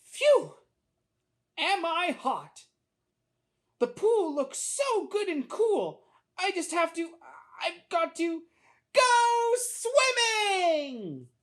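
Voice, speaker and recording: Exasperated storybook schoolboy voiced by a woman, very high, dramatic, swinging wildly in pitch. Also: big pitch swings, very slow, long pauses, exaggerated voice. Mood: straining. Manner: plaintive, exclaiming, dramatic. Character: exasperated little boy plagued by untied shoelaces.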